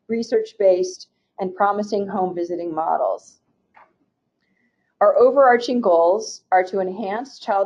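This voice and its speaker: Gravelly woman presenting a webinar. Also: medium pitch. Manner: steady, formal slide-by-slide delivery with occasional restarts. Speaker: state agency program presenter. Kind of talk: reading slides in a webinar presentation. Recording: muffled, dull webinar audio.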